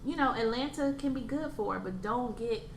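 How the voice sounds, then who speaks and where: A woman's voice: on the high side, moderate pace. A young adult woman who is a podcast guest, speaking as a blunt, opinionated guest who swears casually. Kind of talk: casual chat. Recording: echoey room, faint ambient noise.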